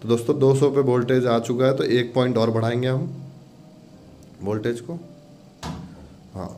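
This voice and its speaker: A man's voice: medium pitch, fairly even intonation, normal speed, dark tone. A man presenting an informal product demonstration, instructing viewers step by step and addressing them as friends. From India.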